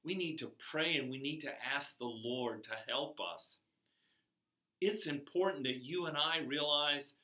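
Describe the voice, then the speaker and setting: An older man giving a chapel sermon to children in a classroom, speaking slowly and emphatically, his voice rising on key words. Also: mid-range voice, sharp tone. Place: classroom with a whiteboard, indoors. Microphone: camera across the room. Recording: echoey classroom from far off.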